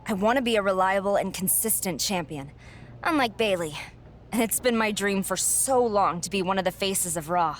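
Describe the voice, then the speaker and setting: A woman's voice: medium pitch, lively intonation, moderate pace, a little hiss or hum, lightly acted. A woman voicing a determined young female wrestling champion in a game cutscene, earnest and defiant. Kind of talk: video game cutscene dialogue.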